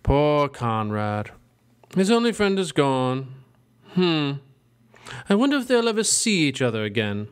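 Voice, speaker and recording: A man's voice: fairly high pitch, very animated, a little slow, clear, resonant tone. A man playing a theatrical, mock-genteel, ghoulish host of a horror story show. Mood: mock sorrow.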